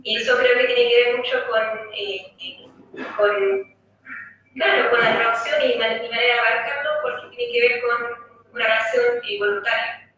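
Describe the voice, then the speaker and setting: A woman's voice: a little high, moderate pace, pushed and bright, very echoey, lo-fi sound, slight background noise. Young American woman over a distant video call. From United States. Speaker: guest translator. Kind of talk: interview.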